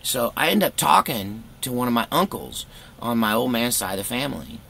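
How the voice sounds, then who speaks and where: Gravelly American man telling a rambling story. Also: mid-range voice, expressive, a little fast, pushed and bright. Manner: rambling, candid, folksy. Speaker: vlogger telling a personal story. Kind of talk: casual first-person storytelling.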